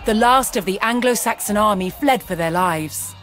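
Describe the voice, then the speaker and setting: A narrator reading a scripted historical account in a measured, dramatic storytelling manner. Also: medium pitch. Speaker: documentary narrator. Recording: loud music behind the voice. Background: loud background music.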